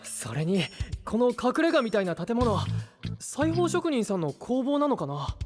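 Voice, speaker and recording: A man's voice: very high voice, sing-song, a little slow, music in the background, exaggerated voice. A man playing an earnest, boyish young knight with plain, frank speech in an anime-style audio drama. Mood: thoughtful.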